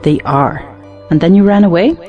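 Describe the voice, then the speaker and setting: A woman's voice: on the low side, moderate pace, dark tone, soft background music, close to an everyday voice. A woman acting an affectedly sweet, knowing married society woman of forty in a stage comedy audio play. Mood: knowing, conspiratorial.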